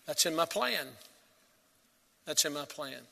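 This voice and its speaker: A man preaching a sermon as pastor, earnest and emphatic, swelling to loud peaks and dropping to quiet. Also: on the high side, lively intonation, slow, creaky, in a large hall.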